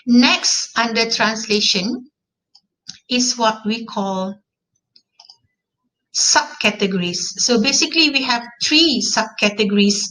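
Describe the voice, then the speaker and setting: A woman's voice: fairly high pitch, bright, forceful tone, poor audio. A woman with a Malaysian accent, a native Malay speaker, giving an online class lecture and repeating key terms for emphasis.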